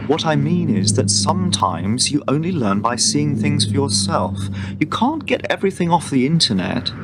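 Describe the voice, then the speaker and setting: A man's voice: big pitch swings, moderate pace, loud music behind the voice. A man playing a patient, persuasive father in a radio play, reasoning with his child. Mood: patient, coaxing. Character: patient, coaxing middle-aged father persuading his daughter. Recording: loud rumbling vehicle noise behind.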